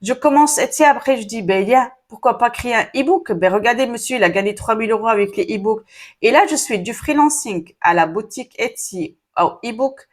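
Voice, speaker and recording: A woman's voice: on the high side, fairly quick. Woman, online business coach, casual chatty delivery, lots of fillers and asides, Arabic first language. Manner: chatty, frequent fillers, addresses viewers directly. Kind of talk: casual talking-to-camera advice.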